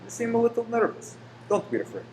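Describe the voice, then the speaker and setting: A man's voice: very high-pitched, sing-song, fast talker, a little mellow, heavily acted. Man playing courteous shopkeeper conspirator, echoey room. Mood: quietly conspiratorial. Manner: polite, reassuring, unctuous. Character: courteous pet-shop professor, secretly a bomb-making conspirator. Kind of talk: radio play acting. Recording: roomy echo with faint noise.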